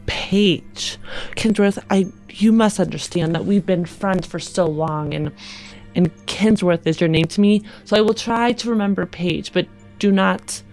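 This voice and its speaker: A woman playing a guarded, earnest female starship commander in a tabletop game, speaking in a hesitant, measured way. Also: medium pitch, a little mellow, soft background music, natural voice.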